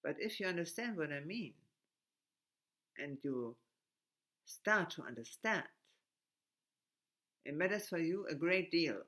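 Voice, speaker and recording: German-accented man speaking haltingly. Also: fairly high pitch, lively intonation, sharp tone. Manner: halting, restarts often, broken grammar. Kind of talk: unscripted reflective monologue.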